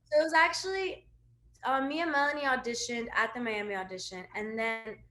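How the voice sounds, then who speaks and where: A woman's voice: a little high, brisk, pushed and bright. Woman guest in an echoey room. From USA, New Jersey and Florida. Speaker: singer, interview guest. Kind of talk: casual interview.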